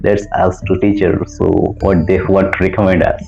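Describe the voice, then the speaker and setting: Polite, low-voiced Indian man learning English. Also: level tone. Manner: polite, hesitant, many "uh" pauses, calls the tutor ma'am. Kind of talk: casual lesson chat. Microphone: video call. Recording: dull lo-fi call audio with faint background music.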